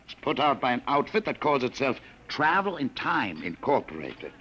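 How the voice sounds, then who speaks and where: An actor playing a sinister male searcher from the future in a 1950s radio drama, taunting his targets with smooth, insinuating salesman patter. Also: medium pitch, big pitch swings, moderate pace, bright, forceful tone. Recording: old radio broadcast with faint hiss.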